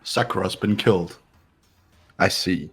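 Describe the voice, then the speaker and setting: A man playing a cool, haughty young man in a fan-voiced game, measured and condescending. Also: low voice, gravelly, a bit bright, breathy edge, soft background music, heavily acted. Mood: cold.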